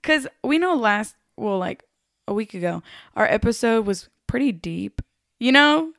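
A woman's voice: fairly high pitch, animated, a little slow, gravelly, fairly bright tone, no echo, studio quality. A young woman co-hosting a podcast, chatting in a laid-back, slangy way. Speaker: podcast co-host. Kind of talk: casual chat.

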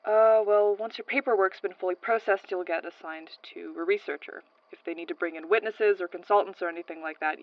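A woman playing a brisk, businesslike archival transcriptionist in an audio drama, matter-of-fact and a little curt. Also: lowish voice, no room sound, dull audio, close to an everyday voice. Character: brisk, businesslike female archival transcriptionist showing a newcomer around. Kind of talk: naturalistic audio drama acting.

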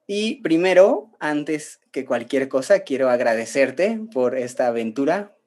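A man hosting a podcast, asking his guest questions in slow, hesitant phrases. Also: medium pitch, expressive, darkish tone. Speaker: podcast host. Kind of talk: interviewer.

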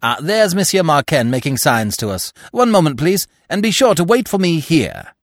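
A man voicing a bustling, irritable police inspector in an audiobook, grumbling and exclaiming briskly. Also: a little high, very animated, no echo, clean studio sound, pitched above the performer's own voice. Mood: impatient, irritated. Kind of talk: audiobook character voice.

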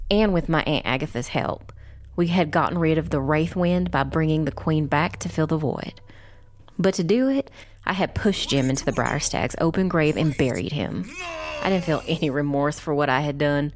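Woman as a low-voiced young witch storyteller. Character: young witch narrator recounting her family's ordeal, grave. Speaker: first-person narrator. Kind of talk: scripted dark fantasy audiobook narration.

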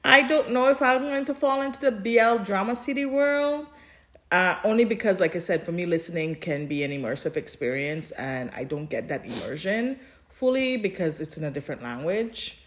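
A woman's voice: medium pitch, expressive, normal speed, a bit bright. A woman co-hosting a podcast in casual chat, speaking emphatically and repeating phrases for emphasis. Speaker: podcast co-host.

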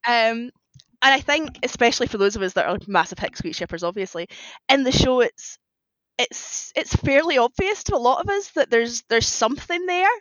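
A woman's voice: on the high side, fairly bright tone, dry recording, rough recording. A woman guest on a podcast talking casually and chattily about her own writing, explaining as she goes. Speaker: podcast guest, fan fiction writer.

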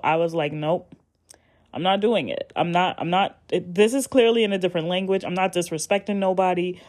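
A young adult woman hosting a casual podcast, rambling and animated as she recaps anime, with frequent restarts and casual swearing. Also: fairly low pitch. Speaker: podcast host.

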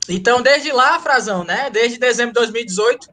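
A man's voice: very high-pitched, lively intonation, fairly quick, sharp tone. A man with a Ceará accent, from Rio but raised in Ceará, telling the story of founding his running humor group in a casual, slangy way. He jokes as he goes and keeps tacking on tag questions. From Rio de Janeiro, raised in Ceará. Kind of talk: casual interview over a call.

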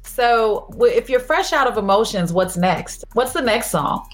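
A woman hosting a talk show, teasing and affirming her guest in a relaxed, playful way. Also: a little high, measured pace, faint music behind. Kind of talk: casual interview chat.

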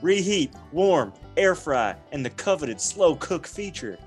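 Man putting on a hokey, overeager salesman dad, pitched up. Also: very animated, unhurried, faint music behind. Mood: hard-selling. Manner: folksy, emphatic sales patter. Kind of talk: podcast parody ad skit.

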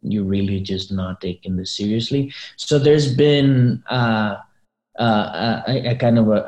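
A man from Chile chatting informally as a guest, thinking aloud in a halting, slangy way. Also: low-pitched, darkish tone. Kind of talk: casual chat. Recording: echoey room.

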